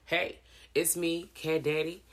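Woman, podcast co-host, casual chat, calm smooth delivery, playful, swooping pitch. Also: takes it slowly.

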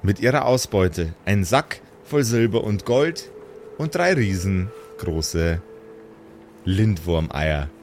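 A man narrating a tabletop adventure as game master, with deliberate, suspenseful storytelling. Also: low-pitched, animated, a little mellow, slight background noise.

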